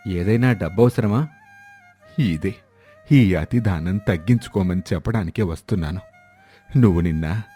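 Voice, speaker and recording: A man playing a sober, well-meaning village friend who advises in a measured way in a moral story. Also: low voice, dark tone, slightly rough. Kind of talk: moral story character acting.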